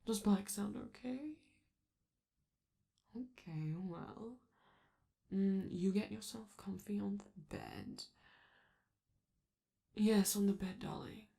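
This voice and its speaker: A woman voicing a flamboyant, doting robot celebrity in an intimate ASMR roleplay, speaking theatrically and affectionately with drawn-out phrases and a sometimes breathy, creaky voice. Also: mid-range voice, warm tone. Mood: reassuring, playful. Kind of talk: ASMR comfort roleplay, addressing the listener.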